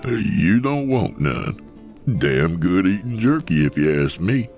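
An ancient coyote trickster posing as a rangy cowpoke. The voice is rock-bottom low and full of gravel, swinging widely in a folksy American drawl. He blusters and mocks in storybook fashion. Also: very animated, slow, darkish tone, faint music behind, full character voice. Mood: amused, bossy.

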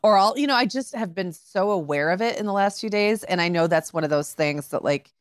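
A woman's voice: mid-range voice, lively intonation, a little fast, no room sound, studio quality. A middle-aged woman co-hosting a podcast in casual chat, talking in a rambling way with many filler words. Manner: chatty, many "like" fillers and restarts. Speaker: podcast co-host.

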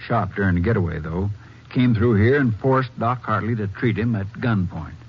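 An actor playing a folksy, easygoing small-town sheriff in a 1950s radio western. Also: male voice, lowish voice, natural voice. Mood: explaining. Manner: folksy, drawn-out explaining. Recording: muffled, noisy 1950s radio recording.

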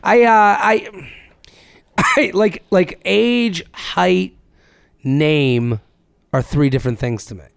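Male podcast co-host, raspy and lively, swears casually, dry studio sound. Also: high-pitched, takes it slowly, pauses often, no room sound. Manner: animated, swears casually, reads listener emails aloud. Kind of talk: casual chat.

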